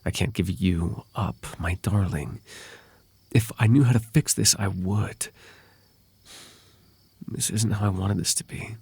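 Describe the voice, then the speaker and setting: A man playing a possessive, lovelorn fae royal heir in an intimate ASMR roleplay, with halting, tender delivery and formal, old-fashioned wording. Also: lowish voice, a little mellow, husky, no room sound, heavily acted. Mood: distressed, pleading.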